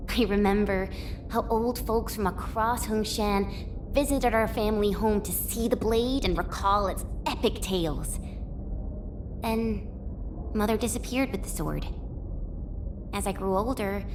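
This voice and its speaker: A woman playing a cheerful, spirited, earnest young swordswoman adventurer in a video game, with a perky, expressive, youthful delivery. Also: medium pitch, a little slow, pauses often, noisy background, natural voice. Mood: wistful, determined. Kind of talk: video game character voice lines.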